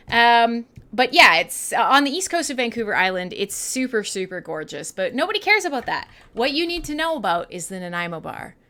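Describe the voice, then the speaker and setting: A Canadian woman with a bright, forward voice at an average pitch. She chats casually as a radio host between tracks, playful and irreverent, swearing freely in an expressive delivery. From western Canada. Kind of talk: casual live chat between music tracks.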